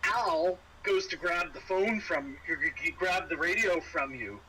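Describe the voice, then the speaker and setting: A man's voice: a little high, animated, measured pace, heavy background noise. A man narrating a tabletop horror game as game master, in a conversational, descriptive storytelling way.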